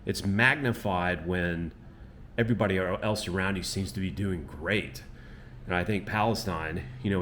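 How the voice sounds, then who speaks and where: A man with a very low voice talks casually to a handheld camera on a street at dusk. He rambles, filling his sentences with "you know" and "um". Faint outdoor noise sits under his voice. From Florida. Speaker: travel vlogger. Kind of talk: casual reflective monologue to camera.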